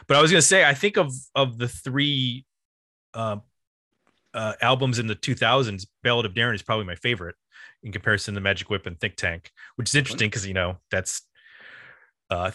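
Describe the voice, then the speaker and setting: A man hosting a casual music discussion, thinking aloud in a halting, conversational way. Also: medium pitch, bright, forceful tone, dry recording, clean studio sound. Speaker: podcast host.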